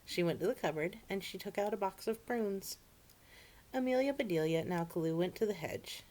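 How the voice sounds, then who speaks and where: A woman's voice: mid-range voice, a little fast, darkish tone. A woman reading a picture book expressively for children, with friendly asides to the young listeners. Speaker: children's story-time reader.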